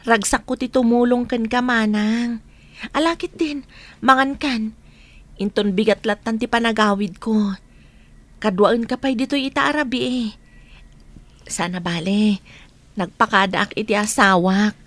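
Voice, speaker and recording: An actress with an Ilocano accent playing a caring, gently coaxing village friend in a radio drama. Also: female voice, a little high, measured pace, long pauses. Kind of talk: Filipino radio drama acting. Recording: noisy track with background ambience.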